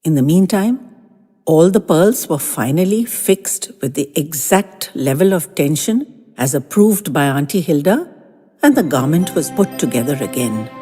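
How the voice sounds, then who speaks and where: A woman narrating a first-person story in a gentle, expressive reading with lingering phrases. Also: sits low, big-room sound.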